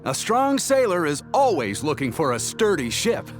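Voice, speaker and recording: A hearty, admiring crewman in a video game. His voice sits high for a man and swoops widely with emphasis, with music clearly playing behind him. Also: very animated, normal speed. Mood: dutiful. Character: admiring, hearty male sailor of a ship's crew.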